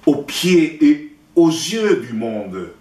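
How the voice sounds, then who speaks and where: A man from Chile with a Chilean Spanish accent, a native Spanish speaker, recites his poetry in a solemn, declamatory way with deliberate pauses. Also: on the high side, sing-song, a little mellow. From Santiago, Chile. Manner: deliberate, declamatory, with pauses between short phrases. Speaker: poet reading own work. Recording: roomy echo, distant microphone.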